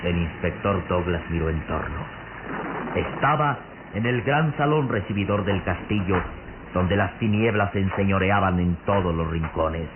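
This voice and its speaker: A man's voice: low-pitched, normal speed, background noise. A man narrating a scripted radio drama in a solemn, dramatic style. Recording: muffled old radio recording. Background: music.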